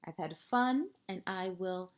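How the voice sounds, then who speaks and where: A woman reading a picture book aloud to young children like a kindergarten teacher, gently and expressively, pausing between short phrases and often letting endings fall to a hush. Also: medium pitch, lively intonation. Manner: deliberate pauses, short phrases, asides to young listeners.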